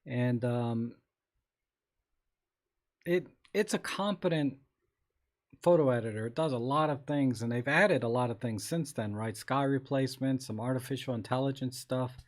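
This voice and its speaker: Man, live stream host, casual chat, rambling, slightly scratchy voice, medium pitch. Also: moderate pace. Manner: rambling, relaxed, many restarts and fillers.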